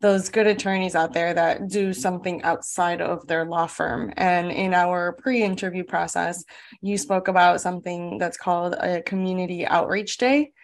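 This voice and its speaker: A woman's voice: lowish voice, fairly even intonation, moderate pace. A woman hosting an interview, chatty and affirming, asking questions with many "um"s and "right?" checks. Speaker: interview host. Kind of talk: conversational interview.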